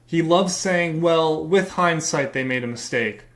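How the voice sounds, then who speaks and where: Hoarse, combative man ranting live. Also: on the high side, measured pace. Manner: combative, swearing, emphatic rants. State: losing his voice. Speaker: livestreamer reacting to a video. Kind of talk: heated live reaction commentary.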